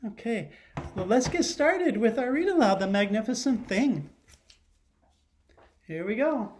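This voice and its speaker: A man's voice: sits high, animated, measured pace, a little mellow, slight background noise. Middle-aged man teaching young children. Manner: simple explanations with frequent questions to young viewers. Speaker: teacher giving a virtual read-aloud lesson. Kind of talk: children's lesson and picture book read-aloud. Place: living room at home, indoors.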